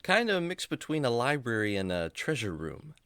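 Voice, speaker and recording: A man playing a kindly, patient adventurer who explains things gently and earnestly to his animal buddy in a children's radio drama. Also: mid-range voice, sing-song, moderate pace, smooth, no room sound, clean studio sound. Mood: friendly.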